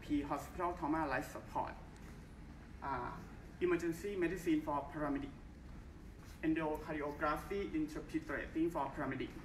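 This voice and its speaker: A young man from Thailand with a Thai accent, giving a formal research talk in careful, halting English at a conference. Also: fairly high pitch. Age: young adult. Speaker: conference presenter. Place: large bright conference hall. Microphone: far camera, no mic. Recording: echoey hall from far off, faint hiss.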